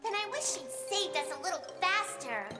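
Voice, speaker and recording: A woman voicing a dreamy, easily upset little girl in a video game, childish and petulant with drawn-out words. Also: extremely high pitch, animated, loud music behind the voice. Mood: sulky. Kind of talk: video game cutscene acting.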